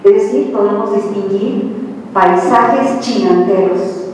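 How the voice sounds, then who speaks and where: Slow-speaking Mexican woman lecturing in a hall. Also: mid-range voice, a little mellow, a little hiss or hum. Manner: slow, deliberate lecturing with rhetorical questions to the audience. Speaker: researcher giving a lecture. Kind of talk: live conference talk. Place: large hall with a seated audience. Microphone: handheld mic, heard from far off. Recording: echoey hall, distant camera mic.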